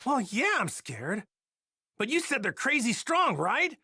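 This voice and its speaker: A woman voicing a naive, cheerful, battle-hungry male martial arts hero in an anime video game dub, with a folksy, boyish, excitable manner. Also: a little high, unhurried, breathy edge, no room sound, studio quality, full character voice. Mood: disappointed, eager.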